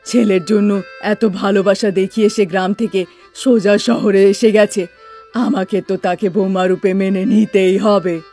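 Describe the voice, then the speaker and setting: A woman's voice: medium pitch, fairly even intonation, a little slow, mellow, faint music behind, close to an everyday voice. A woman voicing a stern, resigned mother-in-law in a cartoon. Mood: relenting.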